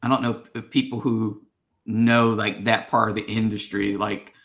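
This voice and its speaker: A man's voice: on the low side, little pitch movement, moderate pace, vocal fry, fairly bright tone. A man hosting a casual interview show, asking rambling, friendly questions with plenty of ums and restarts. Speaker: podcast host.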